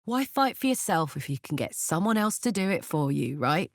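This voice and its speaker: A woman voicing a brash, warm-hearted tiefling warrior in a video game, with blunt, earthy soldierly talk. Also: fairly low pitch, big pitch swings, moderate pace, dry recording, studio quality. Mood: upbeat. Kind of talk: video game character voice acting.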